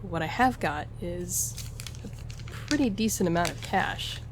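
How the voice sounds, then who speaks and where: A woman's voice: lowish voice, lively intonation, a little slow, background noise. A woman playing a charming, flirtatious gambler and stowaway in an intimate audio roleplay, teasing and conversational as she speaks to the listener. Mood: persuasive. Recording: music behind the voice.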